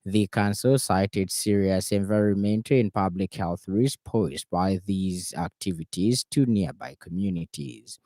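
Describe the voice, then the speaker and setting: A man with a Zambian accent reading a scripted radio news bulletin in a formal, measured manner. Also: sits low, dark tone, no echo, clean studio sound. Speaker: news reader. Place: radio studio.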